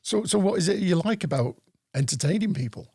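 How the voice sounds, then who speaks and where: Mellow-voiced podcast host. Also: male voice, high-pitched, animated, brisk, vocal fry, husky. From Greater Manchester, England. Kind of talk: scripted introduction, then casual interview questions.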